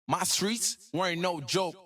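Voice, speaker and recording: A man's voice: high voice, measured pace, crowd noise. A man voicing a cocky young rapper prodigy in a video game, delivering rhymed boasts with a swaggering, rhythmic flow. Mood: proud, lyrical. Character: cocky young gifted male rapper prodigy, playful, proud. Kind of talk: rhymed, rap-like game voice lines.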